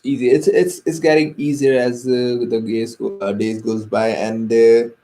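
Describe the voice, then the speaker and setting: A man's voice: medium pitch, level tone, normal speed, mellow. A young man with an Indian accent, a native Hindi speaker, talking hesitantly in a personal vlog with many fillers and restarts. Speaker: vlogger.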